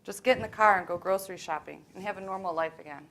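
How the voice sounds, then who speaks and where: A woman's voice: medium pitch, fast talker, gravelly, a bit bright. A young woman acting out scripted monologue lines in a student readers theater show. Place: college library event. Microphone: worn microphone.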